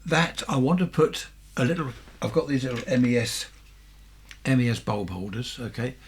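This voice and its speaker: A man in his seventies with a southern English accent and a husky voice, giving a chatty, rambling, unscripted show-and-tell as a hobbyist, often questioning himself. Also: mid-range voice, lively intonation, moderate pace, a little hiss or hum. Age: 70s. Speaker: amateur radio hobbyist presenting vintage equipment.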